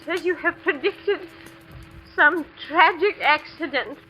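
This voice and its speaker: High, faltering old headmistress. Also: female voice, slow, dull audio. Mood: faltering, bewildered. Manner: hesitant, stammering, flustered, submissive. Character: frail, grief-stricken old headmistress, easily led. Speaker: radio actress. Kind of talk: 1940s-50s radio suspense acting. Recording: vintage radio recording with music.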